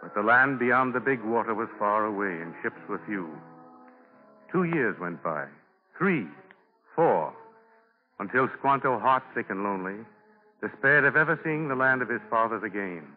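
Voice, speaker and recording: Low-pitched vintage radio narrator, man. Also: expressive, faint music behind. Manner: measured, formal storytelling. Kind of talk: dramatic scripted narration, 1940s radio. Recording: narrow-band 1940s radio broadcast.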